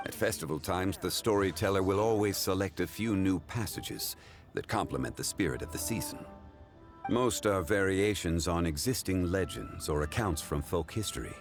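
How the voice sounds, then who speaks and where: A man playing a refined, leisurely old-souled gentleman in a video game, speaking in a formal, measured, contemplative way. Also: low voice. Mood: composed. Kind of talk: English video game voice acting. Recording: music behind the voice.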